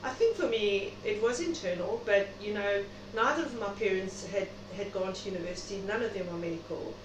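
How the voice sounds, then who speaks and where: A woman's voice: medium pitch, brisk. A middle-aged South African woman speaking reflectively and chattily as a guest in an interview. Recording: noisy, echoey room, distant mic.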